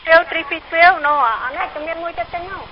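A woman's voice: extremely high pitch, fairly quick, crowd noise. A woman working at a restaurant, taking a phone order in a hesitant, apologetic way. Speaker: restaurant worker. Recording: muffled phone line.

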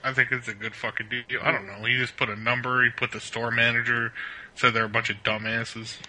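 A man's voice: mid-range voice, little pitch movement, fairly quick, bright, forceful tone, poor audio. A brash, profane man bantering crudely and casually as a regular on a prank call show.

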